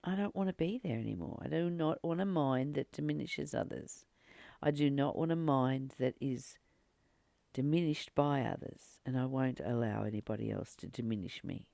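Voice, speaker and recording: A spiritual teacher reading aloud and reflecting on a text in a deliberate, earnest way, pausing between short phrases. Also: mid-range voice, gravelly, dark tone, no room sound.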